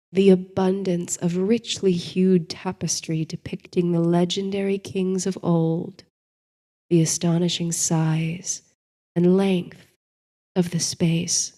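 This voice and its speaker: Female sleep-story narrator: soothing and dreamy, very unhurried, lowish mellow voice, faint music underneath. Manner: soothing, measured, dreamy delivery. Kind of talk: bedtime story narration, guided meditation. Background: soft music.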